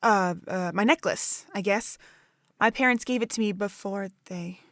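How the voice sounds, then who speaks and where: A woman's voice: medium pitch, moderate pace, a bit bright, no echo, natural voice. Woman playing a curious, hesitant young woman, dry sound. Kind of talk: fan audio drama acting.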